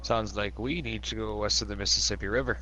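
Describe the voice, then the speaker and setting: A man's voice: lowish voice, fairly even intonation, fast, pushed and bright, slight background noise. A man playing in a tabletop game, joking and swearing freely in character. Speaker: player.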